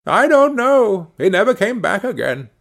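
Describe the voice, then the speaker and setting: A man's voice: high voice, big pitch swings, moderate pace, creaky, fairly bright tone, voice pushed up, full character voice. Man putting on a thin, piping old villager. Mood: matter-of-fact, wistful.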